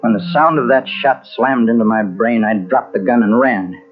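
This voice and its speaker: A man's voice: mid-range voice, moderate pace, lightly acted. An actor playing a guilt-ridden, weary and bitter man confessing a murder in a 1940s radio mystery. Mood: haunted. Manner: brooding, dramatic. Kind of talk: 1940s radio mystery acting, first-person narration. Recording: muffled old radio recording with music behind.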